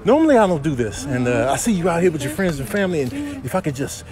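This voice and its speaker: A man improvising comic voiceover in an exclamatory, slangy manner with drawn-out interjections. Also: fairly high pitch, big pitch swings. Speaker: comedian doing animal voiceovers. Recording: clear background noise.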